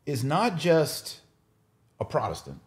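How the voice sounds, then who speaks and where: A man's voice: on the high side, huge pitch swings, slow pace, darkish tone. A man hosting a casual religious discussion, explaining his opinions emphatically and posing rhetorical questions. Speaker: podcast host.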